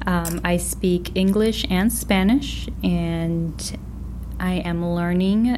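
Slow, hesitant woman library associate. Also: fairly low pitch, fairly even intonation, a little mellow, a little hiss or hum, low-quality recording. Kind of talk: panel discussion.

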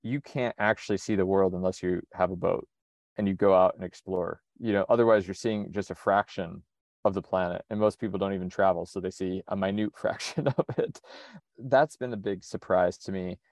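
A man from the United States, speaking in a relaxed conversational interview, thinking aloud with frequent restarts. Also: sits low, brisk, no room sound. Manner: reflective, methodical, frequent restarts. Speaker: sailor and interview guest.

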